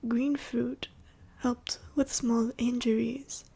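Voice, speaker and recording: A woman voicing a sorrowful, gentle dying dragon in an ASMR roleplay, whispery and short of breath, with slow, formal, old-fashioned phrasing. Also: fairly high pitch, level tone, gravelly, husky, heavily acted. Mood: wistful, weary. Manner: slow, formal, archaic phrasing with heavy pauses. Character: dying female dragon, last of her bloodline, sorrowful, gentle.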